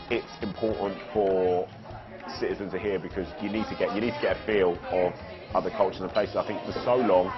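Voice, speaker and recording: A man's voice: lowish voice, dull audio. A man, talking reflectively in a documentary interview and often restarting his phrases. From East End of London.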